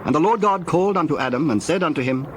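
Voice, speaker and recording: A man's voice: a little high, lively intonation. Solemn male scripture narrator over noisy ambience. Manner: measured, solemn storytelling.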